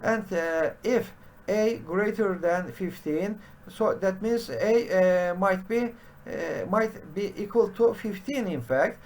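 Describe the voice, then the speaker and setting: Brief: middle-aged man, very slow tutorial delivery, slightly high voice, constant fillers. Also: a little mellow. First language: Turkish. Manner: halting, many "uh" fillers. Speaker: programming teacher. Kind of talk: screen-share coding tutorial. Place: home study with bookshelves.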